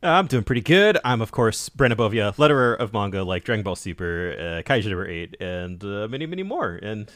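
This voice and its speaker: A man co-hosting a casual podcast, animated and quick to laugh, often stammering and restarting his sentences. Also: on the low side, dry recording, studio quality. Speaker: podcast co-host.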